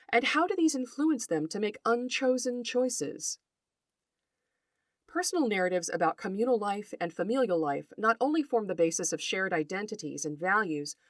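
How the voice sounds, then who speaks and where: A woman reads scripted educational material as a podcast host. Her delivery is articulate and deliberate, like a prepared lecture, with a medium pitch that moves expressively. The sound is dry, with no audible room. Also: dry recording. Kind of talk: scripted educational narration read aloud.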